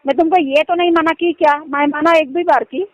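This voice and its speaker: A woman's voice: high voice, fairly even intonation, brisk. A woman talking casually and insistently on a private phone call, often checking that the listener understands her. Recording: muffled lo-fi phone call recording.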